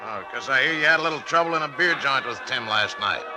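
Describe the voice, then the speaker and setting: An actor playing a chummy, slangy carnival ticket barker in a 1950s radio drama. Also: male voice, medium pitch, animated, quick pace, lightly acted. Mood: chummy, nosy. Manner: slangy, calling out to passers-by. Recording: old radio recording under loud music.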